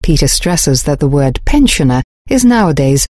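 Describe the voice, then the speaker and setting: Actress as an older journalist: low, lively intonation, measured and explanatory, dry studio read. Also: female voice, dry recording. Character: older woman journalist, calm polyglot. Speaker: interviewee. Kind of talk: scripted textbook dialogue.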